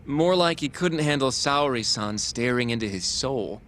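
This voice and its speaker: An actor playing an earnest, polite young junior lawyer in an English game dub. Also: male voice, medium pitch, lively intonation, moderate pace, a bit bright, a little hiss or hum, close to an everyday voice. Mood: friendly. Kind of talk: English dub cutscene acting.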